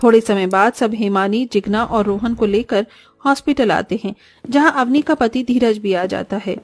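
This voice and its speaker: High-pitched woman storyteller. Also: moderate pace, no room sound. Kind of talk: scripted children's cartoon narration. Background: faint music.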